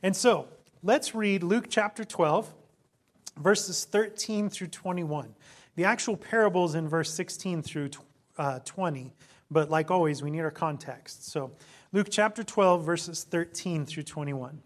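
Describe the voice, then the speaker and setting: A hoarse man preaching a sermon as a pastor, earnest and preacherly, with frequent ums. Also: measured pace. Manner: prays in a soft, low voice.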